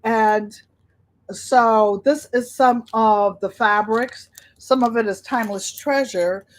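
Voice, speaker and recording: A woman hosting a casual livestream chat in an unhurried, rambling way, drifting between her own talk and replies to viewers. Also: a little high. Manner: unhurried, rambling, easily sidetracked. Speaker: livestream host, quilter.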